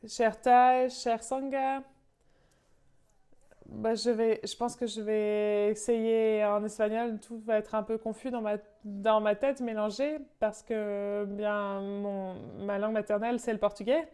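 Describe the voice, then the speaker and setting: Female live interpreter on a call; unhurried, halting delivery, warm clean voice. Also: medium pitch, little pitch movement, smooth. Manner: halting, searching for words. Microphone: video call.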